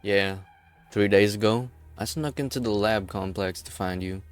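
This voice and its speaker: A man's voice: fairly low pitch, normal speed, clear, resonant tone, faint music behind, natural voice. A man voicing a bitter, defiant leader of an armed group in a game fan dub. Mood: defiant, confessing.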